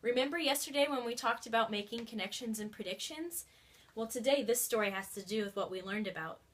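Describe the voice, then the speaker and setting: High-voiced woman reading to children. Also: brisk. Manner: expressive, addressing young children. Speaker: teacher reading aloud to children. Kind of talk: storybook read-aloud with questions. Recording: faint background noise.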